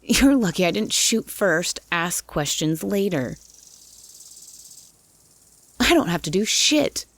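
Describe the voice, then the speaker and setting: Gruff, creaky-voiced woman of the woods, acted. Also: fairly low pitch, sing-song, takes it slowly, long pauses, a bit bright, exaggerated voice. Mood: angry, suspicious. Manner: blunt, grumpy, foul-mouthed. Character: gruff, wary armed woman living in a forest cabin. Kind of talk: horror audio roleplay, ASMR.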